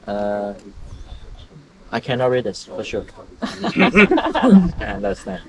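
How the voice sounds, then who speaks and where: A man's voice: a little high, wildly swooping pitch, takes it slowly, vocal fry, a bit bright, poor audio. A man with a Vietnamese accent, a native Vietnamese speaker, explaining things to visitors as a tour guide in simple, broken English, joking and chuckling often. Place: outdoors at ancient temple ruins.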